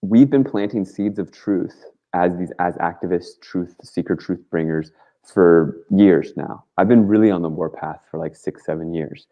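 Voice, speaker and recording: A man with a very low voice speaks in a casual, rambling storytelling style. His delivery is earnest and emphatic, peppered with slang. The audio is somewhat dull and lo-fi, like a call-in show. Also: moderate pace. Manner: informal and slangy, with frequent "like" and mild swearing. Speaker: talk show guest, activist.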